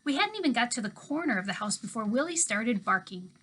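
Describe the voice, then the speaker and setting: Teacher lady reading a chapter book out loud, expressive, kinda high voice. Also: brisk. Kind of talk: reading a novel aloud to a class.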